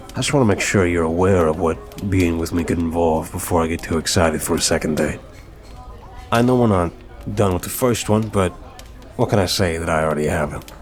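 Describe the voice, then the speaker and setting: Guy acting as a mafia boss boyfriend, lowish voice, teasing n earnest, asmr roleplay w music behind. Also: close to an everyday voice. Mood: teasing, affectionate.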